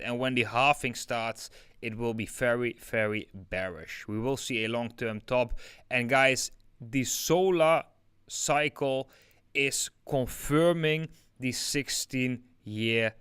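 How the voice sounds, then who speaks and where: A man's voice: medium pitch, slow pace, pushed and bright, smooth, no room sound, studio quality. A young man with a Dutch accent hosting a casual live crypto stream, talking through charts with lots of filler words. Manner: chatty, many fillers like "like" and "yeah". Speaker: crypto livestream host.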